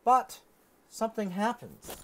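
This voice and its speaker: A man's voice: sits high, sing-song, a little slow, a bit bright. A middle-aged man explaining science as a lecturer in a conversational style, recorded in a studio. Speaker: astronomy lecturer. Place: indoor video studio.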